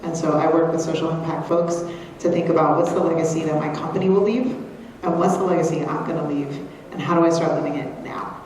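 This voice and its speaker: A woman's voice: low-pitched, fairly even intonation, quick pace, darkish tone, slight background noise. A woman introducing herself on a panel, earnest and reflective, recorded far off in an echoey hall. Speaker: founder and panelist. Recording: roomy hall sound from far off.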